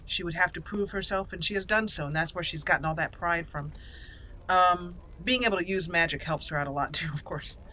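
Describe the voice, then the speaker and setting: A woman's voice: fairly low pitch, a little fast, bright, forceful tone, slight background noise, low-quality recording. A woman hosting a roleplay game walkthrough in a chatty, explanatory way with playful asides. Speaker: gaming channel host. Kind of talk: casual roleplay game commentary.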